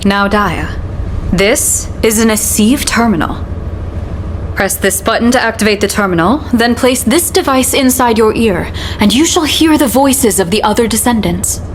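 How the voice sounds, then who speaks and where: A woman plays a centuries-old woman in a video game cutscene, her phrasing stately and archaic, her manner calm and courteous. Clear background noise runs under the game audio. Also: on the high side, moderate pace, lightly acted. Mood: gentle. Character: ancient formal woman awakened after a century.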